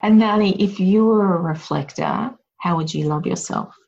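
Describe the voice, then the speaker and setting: A woman's voice: medium pitch, normal speed, gravelly, darkish tone, lo-fi sound. A woman hosting a casual chat, talking in a reflective, encouraging way.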